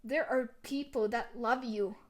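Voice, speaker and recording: A woman's voice: on the high side, a little slow, close to an everyday voice. A woman playing a tough, sadistic, possessive bully fiancée in a dramatic audio roleplay, scolding and emotionally intense. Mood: angry, possessive.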